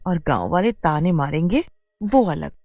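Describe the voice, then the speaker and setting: A woman voicing a worried mother of two daughters in a cartoon, speaking softly and fretfully. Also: low voice, lively intonation, moderate pace, no echo, clean studio sound. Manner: soft, worried complaining.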